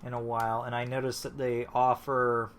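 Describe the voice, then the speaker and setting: A man's voice: mid-range voice, little pitch movement, moderate pace, a bit bright. A man hosting a casual live stream, rambling and restarting with lots of fillers, just back from being sick. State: recovering from illness. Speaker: host.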